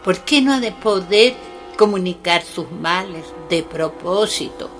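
An actress playing a solemn, urgent Mapuche shaman healer in a radio drama, halting and commanding, repeating her words. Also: lowish voice, expressive, a bit bright. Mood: grave, explaining. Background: music.

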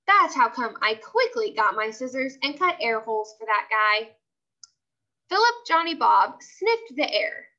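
High, bright-voiced woman reading aloud. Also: measured pace, dull audio. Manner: expressive, instructional, checking in with listeners. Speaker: teacher reading aloud to a class. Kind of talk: read-aloud of a children's chapter book.

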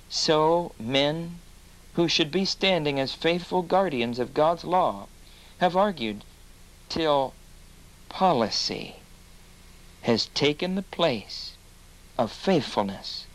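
A man narrating a religious documentary in a grave, deliberate way and questioning an interviewee. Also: on the high side, poor audio. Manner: slow, grave, deliberate reading.

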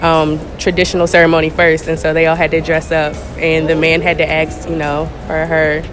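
A young woman talks easily and at length in a casual mall interview. She rambles a little and laughs at her own guesses. Music is clearly heard behind her. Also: low-pitched, completely flat delivery, normal speed. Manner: chatty, giggly, rambling. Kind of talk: casual chat. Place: indoor shopping mall.

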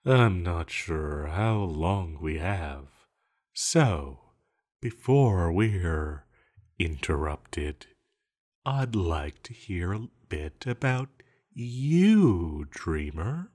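A man's voice: very animated, darkish tone, heavily acted. A man playing a banished sorcerer villain, sly, seductive and scheming, speaking in a teasing, theatrical manner to the listener in an audio roleplay. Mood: coaxing, curious. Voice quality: low-pitched. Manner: slow, teasing, theatrical, with lingering pauses.

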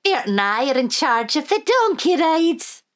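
A woman voicing a cheerful, magical old granny in a high, chirpy put-on voice. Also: big pitch swings, a little slow. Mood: cheery.

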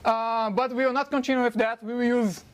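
Brazilian-accented male tech speaker, non-native English, rapid delivery, lots of "uh" fillers, handheld mic in a lecture hall. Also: extremely high pitch, level tone. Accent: Brazilian Portuguese. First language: Portuguese. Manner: fast-talking with frequent uh fillers. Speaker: conference speaker. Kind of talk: technical conference talk.

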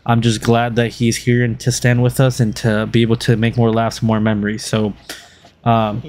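A man's voice: mid-range voice, completely flat delivery, moderate pace, a little mellow, a little hiss or hum. A man narrating his motorcycle vlog in an earnest, rambling way with many fillers and restarts. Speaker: motovlogger host. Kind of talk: casual voice-over vlog narration.

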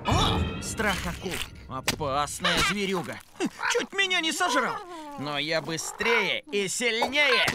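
A woman's voice: medium pitch, sing-song, takes it slowly. A woman voicing a cocky, boastful, bossy little boy monster in a children's cartoon, with a swaggering, exclaiming delivery. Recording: music behind the voice.

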